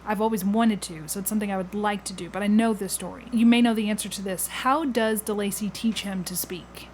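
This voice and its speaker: A woman's voice: mid-range voice, fairly even intonation, fairly quick, darkish tone. Woman podcast host over faint buzz. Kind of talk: casual interview chat. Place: indoor room at home next to a garage. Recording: buzzing air conditioner noise behind the voice. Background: air conditioner hum.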